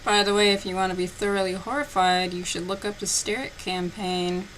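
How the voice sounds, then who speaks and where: A woman's voice: mid-range voice, flat delivery, fairly quick, rough recording. A woman giving a mocking, sarcastic dramatic reading of a story, with her own commentary as host. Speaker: host reading fan fiction aloud. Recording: loud music behind the voice. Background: music.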